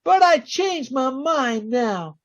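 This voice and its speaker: An actor playing a vengeful, taunting crook in slangy 1940s radio style. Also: male voice, very high voice, expressive, takes it slowly, fairly bright tone, exaggerated voice. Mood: bitter, threatening. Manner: slangy gangster talk, taunting. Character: menacing, double-crossed male crook, coldly vengeful. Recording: dull, lo-fi video-call audio.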